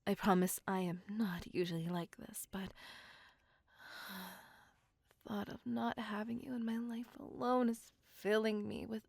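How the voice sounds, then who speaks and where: A woman plays a courtly, possessive female vampire smitten with a human. She speaks very slowly in a breathy, formal whisper with a gravelly creak. It is an intimate ASMR roleplay, recorded close and dry. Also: medium pitch, steady tone, a little mellow, no echo, heavily acted. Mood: apologetic, possessive. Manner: formal old-fashioned wording, slow and breathy.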